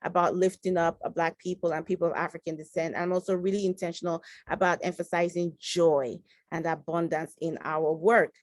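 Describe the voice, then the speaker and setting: A woman from Nigeria, a physician and professor, whose first language is Igbo, speaking as a guest in an earnest, articulate, measured way with emphatic stresses. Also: fairly low pitch, little pitch movement, no room sound, studio quality. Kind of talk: interview on a talk show.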